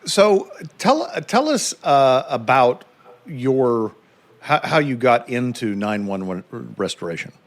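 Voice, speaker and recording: A man hosting a podcast interview, talking in a halting, thoughtful way with many restarts. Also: medium pitch, sing-song. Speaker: podcast host. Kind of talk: conversational interview.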